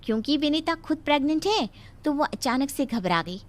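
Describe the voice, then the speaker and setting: High-voiced woman doctor played by an actress. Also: expressive, normal speed. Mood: explaining. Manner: explanatory. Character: explaining, reassuring woman doctor at a hospital. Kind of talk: Hindi cartoon moral-story dubbing.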